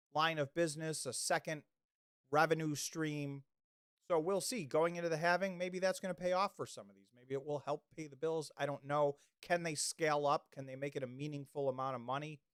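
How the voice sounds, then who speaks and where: A man's voice: a little high, fairly bright tone, no room sound, studio quality. A man hosting a livestream in casual chat, rambling with many restarts and losing his voice. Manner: rambling, restarts often, reads chat comments. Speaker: livestream host.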